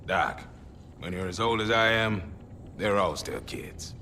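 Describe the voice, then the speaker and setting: An actor playing a seasoned, gruff older sergeant major with blunt military talk in a cinematic game. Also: male voice, on the low side, animated, unhurried, pushed and bright, slight background noise, exaggerated voice. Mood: wry.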